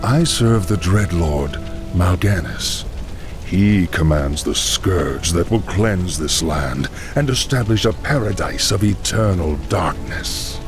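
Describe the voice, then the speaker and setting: An actor playing a sinister, taunting necromancer cult leader in a fantasy video game, theatrical and ominous. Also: male voice, deep voice, lively intonation, takes it slowly, music in the background, full character voice. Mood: menacing.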